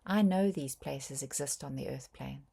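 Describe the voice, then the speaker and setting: A woman reading a scripted guided meditation in a soft, breathy voice, with gentle, measured phrasing and frequent pauses. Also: rumbling low.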